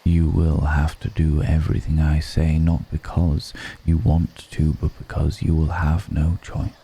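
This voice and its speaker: A man voicing a seductive, grave vampire lord in an intimate ASMR roleplay, speaking in a hushed, deliberate and solemn manner. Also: very deep voice, monotone, vocal fry, slight background noise, full character voice.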